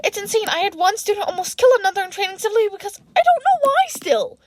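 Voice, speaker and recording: A woman's voice: very high voice, big pitch swings, fast, no room sound, studio quality, pitched above the performer's own voice. A woman voicing an exasperated young male teacher who rambles through his complaints in an audiobook story. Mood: exasperated ranting.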